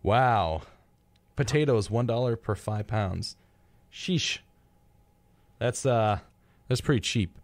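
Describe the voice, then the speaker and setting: A man's voice: lowish voice, takes it slowly, pauses often, no echo. A young man hosting a radio show, chatting casually and reading out facts in an informal, wry way. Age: young adult.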